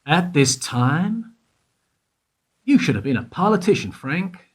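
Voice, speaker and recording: A man's voice: a little high, very animated, slow, slightly rough, heavily acted. A man acting in a radio play as a wry investigator, questioning in a probing, insistent way. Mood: needling, insistent.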